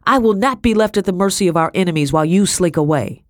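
A woman voicing an imperious, frightened colonial-era lady in an audiobook, sharp, demanding and indignant. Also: lowish voice, lively intonation, moderate pace, no room sound, clean studio sound, pitched above the performer's own voice. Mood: furious. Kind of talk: dramatic audiobook character acting.

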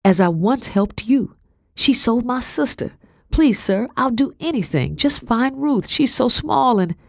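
A woman's voice: mid-range voice, animated, a little slow, vocal fry, a little mellow, breathy edge, dry recording, studio quality, pitched above the performer's own voice, full character voice. A woman voicing a frightened enslaved girl who pleads desperately for help in a storybook reading. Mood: desperate pleading.

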